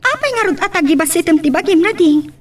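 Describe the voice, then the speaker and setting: A woman plays a devoted young Ilocano woman in love for a radio-style drama. The voice is high and earnest. It sounds a little distant from the microphone. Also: animated, fast talker. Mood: worried, earnest.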